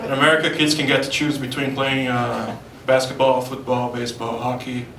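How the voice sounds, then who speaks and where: A young man from Brazil with a Brazilian Portuguese accent, telling deadpan one-liners in a stand-up set at a comedy club. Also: mid-range voice, a little fast, slight background noise. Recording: roomy club, far mic, loud crowd.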